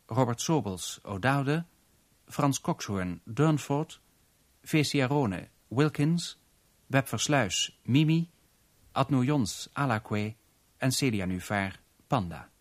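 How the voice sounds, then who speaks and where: A man's voice: mid-range voice, expressive, slow. A man working as a radio announcer, formally reading out scripted credits for a radio play.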